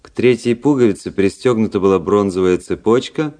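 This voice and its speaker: An actor playing a proud, cynical, world-weary young aristocratic officer in a Russian radio drama, speaking in a measured, rhetorical way. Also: male voice, on the low side, warm tone, close to an everyday voice. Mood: coolly reflective.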